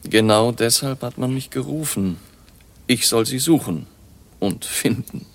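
An actor playing a grave, learned baron who researches vampires in a radio drama, with a gravelly voice and a measured, ominous manner. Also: male voice, mid-range voice. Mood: grave, narrating.

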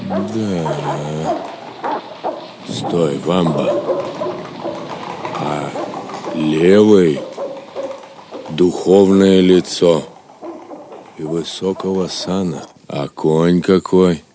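A man playing a gruff, grumbling swineherd serf in an audio drama, with an earthy delivery. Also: deep voice, very slow, pauses often, warm tone. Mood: wary.

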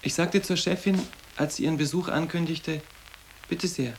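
Curt, creaky-voiced schoolboy. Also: male voice, on the high side, fairly even intonation, moderate pace, a little hiss or hum. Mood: offhand, reserved. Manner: curt, offhand. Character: sarcastic teenage boy at a boarding school. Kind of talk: radio drama dialogue.

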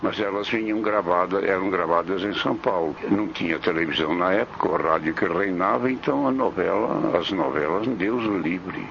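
A man's voice: deep voice, normal speed, vocal fry, few pauses, a bit bright. An older Brazilian man, a veteran radio technician, casually recalling his working years. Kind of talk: casual recollection. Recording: dull interview tape with faint noise.

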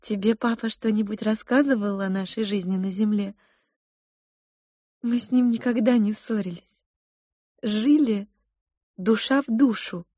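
A woman voicing a melodramatic female royal cook who sighs and speaks in hushed, drawn-out phrases for a storybook reading. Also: mid-range voice, no room sound, studio quality, pitched above the performer's own voice, close to an everyday voice. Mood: sighing, hushed. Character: fretful, melodramatic junior royal cook, a mother.

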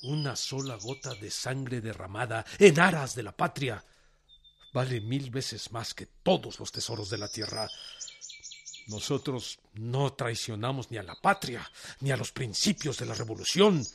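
An actor playing a proud, defiant revolutionary general in a radio drama, with a husky voice, a Mexican accent and emphatic, oratorical delivery. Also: male voice, medium pitch, lively intonation, measured pace, fairly bright tone, slight background noise. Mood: impassioned, indignant.

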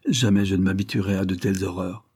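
A man's voice: low-pitched, steady tone, quick pace, a little mellow, breathy edge, no room sound. Man voicing an anguished, tender-hearted young woman. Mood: horrified protest. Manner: emotional, pleading, exclamatory.

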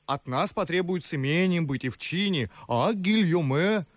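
An actor playing a witty, talkative, mocking young gentleman in an ardent, ironic verse radio drama. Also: on the high side, lively intonation, normal speed, no echo, close to an everyday voice. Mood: ironic, lively. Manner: ardent, ironic, theatrical declamation. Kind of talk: Russian classical verse radio drama acting.

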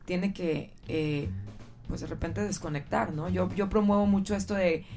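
A woman hosts a radio conversation in Mexican-accented Spanish. Her voice sits a little low for a woman. She talks in an informal, chatty way, drawing out her guests. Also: fairly even intonation, normal speed, a little mellow, faint music behind. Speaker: radio host.

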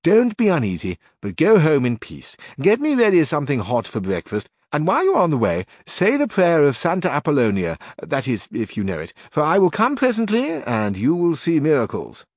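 Man reading as a confident young scholar, wry and teasing, lively pitch. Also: a little fast, few pauses, no room sound, clean studio sound. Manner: wry, reassuring and slightly mocking.

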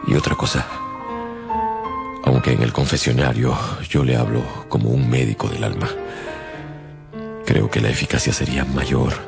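An actor playing a stern, possessive priest who speaks slowly and solemnly in a radio drama. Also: male voice, rumbling low, vocal fry, exaggerated voice. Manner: slow, solemn, insistent. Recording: music behind the voice.

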